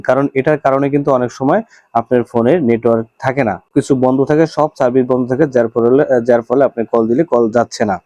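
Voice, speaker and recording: A man's voice: mid-range voice, warm tone, no room sound. A man with a Bangladeshi accent narrating a step-by-step phone tutorial, instructing viewers directly as the presenter.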